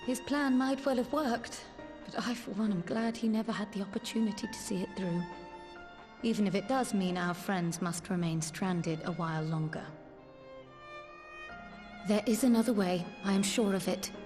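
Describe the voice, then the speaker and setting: Game role: grave female scholar actress, mid-pitched voice, measured archaic phrasing, loyal and resolute, music underneath. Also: lightly acted. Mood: earnest, determined. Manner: formal, measured, archaic diction. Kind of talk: video game cutscene acting.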